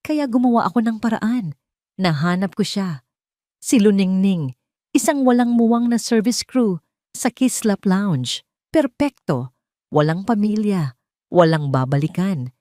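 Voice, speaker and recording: A woman's voice: mid-range voice, lively intonation, a little slow, long pauses, warm tone, no echo, clean studio sound, lightly acted. A woman with a Filipino accent voicing a scheming, bitter, obsessive woman in love in an audio drama, emphatic and theatrical. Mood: anguished.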